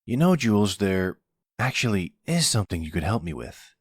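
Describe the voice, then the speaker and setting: A man's voice: on the low side, big pitch swings, normal speed, breathy edge, dry recording, clean studio sound. A man playing a young son in a roleplay audio drama, casual, teasing and blunt, protective of his family. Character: cheeky, protective young son, an aspiring chef.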